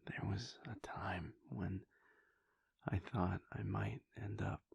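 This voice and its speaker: Brooding vampire prince, played by a man: husky hushed whisper, dry close sound. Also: low voice, fairly even intonation, takes it slowly, no room sound, exaggerated voice. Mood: wistful. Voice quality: gravelly, breathy. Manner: soft whispering, hesitant restarts. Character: brooding male vampire prince, conflicted and introspective. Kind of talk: audio roleplay monologue.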